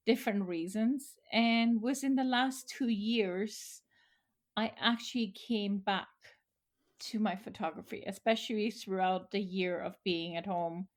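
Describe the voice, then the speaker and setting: Middle-aged woman, mid pitch, earnest and a bit halting. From Austria. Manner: earnest, with fillers and restarts. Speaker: photographer, guest. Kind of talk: interview answers.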